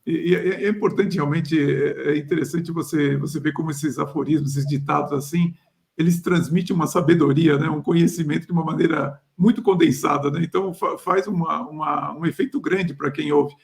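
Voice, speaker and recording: A man's voice: fairly high pitch, steady tone, brisk, gravelly. A male podcast host and career coach, interviewing a guest in a chatty way with many restarts and affirmations. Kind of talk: conversational interview.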